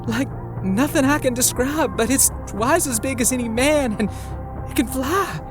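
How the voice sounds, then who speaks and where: A man's voice: very high voice, moderate pace, pitched above the performer's own voice. A man voicing a plain-spoken frontier townswoman who tells events in a level-headed, matter-of-fact way, over background music. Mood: grim.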